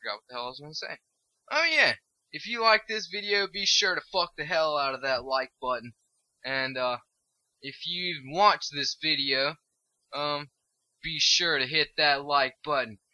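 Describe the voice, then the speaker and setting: A man's voice: a little high, pushed and bright, dry recording, poor audio. A tired young man rambling through casual gameplay commentary, swearing freely and losing his train of thought. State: tired and stoned. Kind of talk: casual let's-play commentary.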